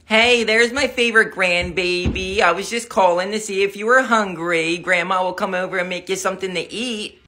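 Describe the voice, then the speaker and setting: A man's voice: high-pitched, fairly even intonation, moderate pace, few pauses, sharp tone. Man playing a bossy, doting grandma. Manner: blunt, commanding. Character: bossy, doting grandmother who scolds her daughter. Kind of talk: exaggerated family comedy skit.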